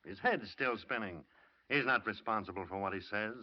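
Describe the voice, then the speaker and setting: A man's voice: fairly low pitch, moderate pace, lightly acted. A man playing a skeptical homicide lieutenant in a 1940s radio drama. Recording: muffled old radio broadcast.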